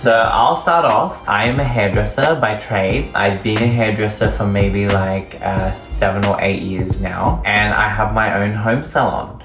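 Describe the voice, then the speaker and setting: A man in his thirties, co-hosting a casual question-and-answer chat, talkative and quick to laugh. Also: fairly low pitch, a bit bright. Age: 30s. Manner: chatty, laughs often, drawn-out answers. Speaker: co-host. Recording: echoey room with music behind.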